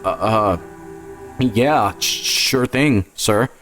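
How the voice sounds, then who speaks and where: Audio-drama role: nervous, polite male surgeon, hesitant delivery, pitched up by a male narrator. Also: extremely slow, a bit bright, faint music behind. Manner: hesitant, stammering. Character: Derek, nervous, apologetic adult male surgeon.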